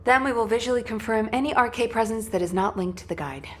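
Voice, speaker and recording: A woman's voice: on the high side, quick pace, slight background noise, lightly acted. A woman playing a confident, steely but teasing soldier in a sci-fi video game. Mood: teasing confidence.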